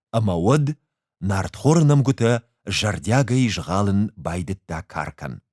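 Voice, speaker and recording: A man reading a short story aloud as an expressive literary narrator. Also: on the low side, no room sound, studio quality.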